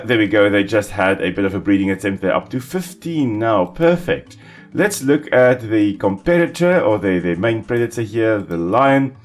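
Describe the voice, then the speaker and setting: A man, an Afrikaans speaker, calmly explaining ecology while playing a simulation game, with small restarts. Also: lowish voice. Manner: explanatory, calm, with small self-corrections. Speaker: ecologist gaming host. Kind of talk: educational let's-play commentary.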